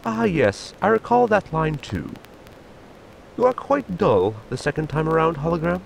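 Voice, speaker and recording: Man acting a gravelly sci-fi inventor, swooping pitch, precise, exasperated, formal, audio sitcom. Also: sing-song, normal speed, a little hiss or hum, exaggerated voice, poor audio. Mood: dry, fussy. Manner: stiff, precise diction with few contractions. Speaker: actor in sci-fi comedy audio drama.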